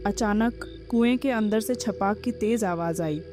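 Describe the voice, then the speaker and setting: A woman's voice: mid-range voice, unhurried, over music. A woman giving scripted narration of a horror story as its narrator.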